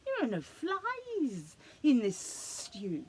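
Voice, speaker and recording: A woman's voice: high-pitched, wildly swooping pitch, extremely slow, pitched above the performer's own voice, exaggerated voice. A woman voicing an old, scornful male blue-tongue lizard in a storybook, with a hissing delivery.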